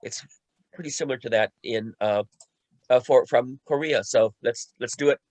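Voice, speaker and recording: A man's voice: mid-range voice, moderate pace, a little mellow, dry recording. A man with a mid-range voice and a very dry sound speaks in a casual online discussion. He often repeats words and short phrases as he talks. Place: online video call.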